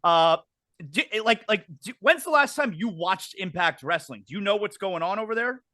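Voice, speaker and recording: A man's voice: sits high, animated, moderate pace, pushed and bright, dry recording, studio quality. A middle-aged man hosting a casual wrestling talk show, chatty and prone to repeating words as he restarts sentences. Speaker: podcast host.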